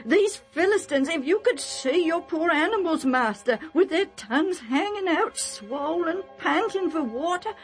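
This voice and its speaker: A woman voicing a rough, pleading male herdsman with rustic, uneducated speech in a children's story. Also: extremely high pitch, animated, unhurried, hardly any pauses, soft background music, voice pushed up. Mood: pleading, indignant.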